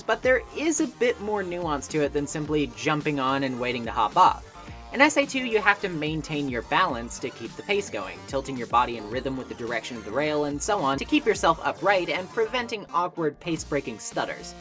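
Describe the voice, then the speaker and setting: A woman with a low voice delivers scripted video essay narration about games. Her intonation is very lively, swinging up and down through each opinion and conversational aside. Music plays clearly behind her voice-over. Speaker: video game reviewer. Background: background music.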